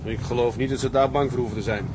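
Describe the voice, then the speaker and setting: A man acting as a calm, assured tug captain in a radio drama, with a measured, authoritative manner. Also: mid-range voice, lightly acted. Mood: calm, decisive. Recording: clear engine-like noise behind.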